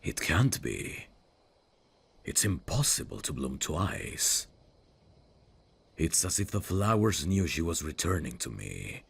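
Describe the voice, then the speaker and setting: A man playing a brooding dark fantasy prince in an intimate ASMR roleplay, tender and lovesick, with a husky, gravelly voice and a hushed, formal delivery. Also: rumbling low, big pitch swings, full character voice. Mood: anxious longing. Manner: slow, hushed, formal and halting. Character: brooding evil prince, tender and lovesick toward old friend. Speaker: voice actor.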